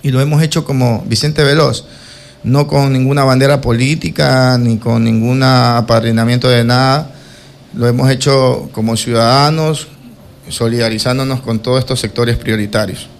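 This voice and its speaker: A man with a coastal Ecuadorian accent answering interview questions about himself in a formal, deliberate, hesitant way. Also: mid-range voice, fairly even intonation, gravelly, a little hiss or hum. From Quevedo, Ecuador. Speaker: interview guest, accountant.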